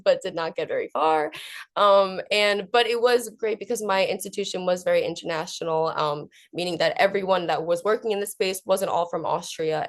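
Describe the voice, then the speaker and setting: A young woman student giving an academic conference presentation of research in an earnest, informal way. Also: on the low side. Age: young adult.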